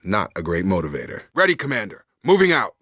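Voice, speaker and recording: A man's voice: on the low side, huge pitch swings, fast talker, fairly bright tone, no room sound, studio quality, exaggerated voice. A man playing a loyal, guarded young soldier in a sci-fi video game, speaking in an earnest, controlled, matter-of-fact way. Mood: urgent.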